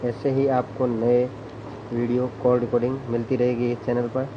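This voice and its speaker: A man's voice: mid-range voice, monotone, normal speed. A man giving a scripted outro announcement as a channel host. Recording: noisy, dull lo-fi voice-over recording.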